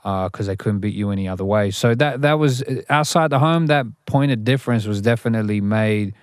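A man's voice: on the low side, a little fast, no echo, clean studio sound. A young adult man, a rapper talking through his upbringing as an interview guest, thoughtful and full of restarts. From Australia. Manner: thoughtful, frequent restarts and "you know". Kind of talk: interview, reflective storytelling.

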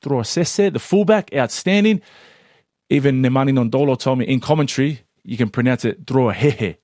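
Male podcast co-host, teasing, easy laugh, dry close mic, mid-pitched. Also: moderate pace, a little mellow, no room sound. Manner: laughs often, teasing and upbeat. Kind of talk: casual chat.

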